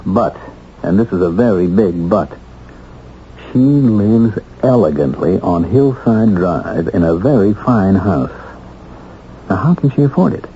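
An American actor playing an earnest husband determined to clear his name in a 1970s radio drama, speaking deliberately with weighted pauses. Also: on the low side. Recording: muffled, noisy 1970s radio broadcast.

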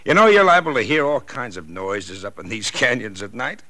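Forceful, skeptical American cop. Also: male voice, mid-range voice, expressive, normal speed, dry recording. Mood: placating, amused. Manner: blunt, condescending, casual. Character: stalwart, skeptical, folksy Los Angeles policeman. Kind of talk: 1940s radio drama acting.

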